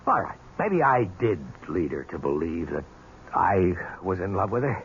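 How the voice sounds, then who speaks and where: Gravelly, wildly expressive callous gentleman. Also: low voice, moderate pace. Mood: defensive, callous. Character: callous, smooth, increasingly rattled gentleman rider. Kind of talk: 1970s radio drama acting. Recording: dull, noisy old radio recording.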